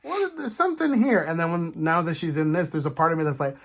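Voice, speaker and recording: A man hosting a casual, animated chat about film and TV, acting out imagined reactions as he goes. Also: on the high side, fast talker. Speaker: podcast host.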